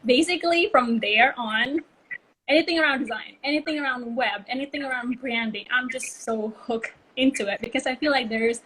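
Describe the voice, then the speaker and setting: Woman in her 30s, slightly high husky voice, rambling friendly guest on a video call. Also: normal speed, a bit bright, rough recording. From Bali, Indonesia. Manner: chatty storytelling, frequent "like" and "um". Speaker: guest, brand consultancy co-founder. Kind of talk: casual interview chat.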